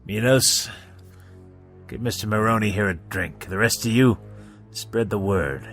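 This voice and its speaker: Man acting a gravelly, slow crime boss. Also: lowish voice, fairly bright tone, faint music behind. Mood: cold command. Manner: deliberate, imperious. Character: commanding, cold older male crime boss. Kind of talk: comic-book audio drama acting.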